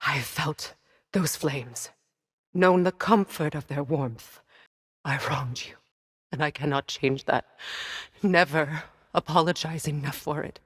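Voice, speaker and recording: A woman playing a remorseful goddess in a video game, pleading in a hushed, solemn, halting way. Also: low-pitched, slightly rough, exaggerated voice. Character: remorseful goddess pleading gently with her sister. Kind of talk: dramatic video game voice acting. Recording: long cavernous reverb.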